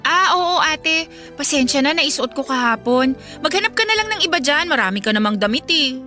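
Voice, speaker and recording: A woman's voice: sits high, animated, brisk, sharp tone. A Filipino woman acting a spoiled, flippant younger sister in a radio drama, cheeky and dismissive. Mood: casual, dismissive. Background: music.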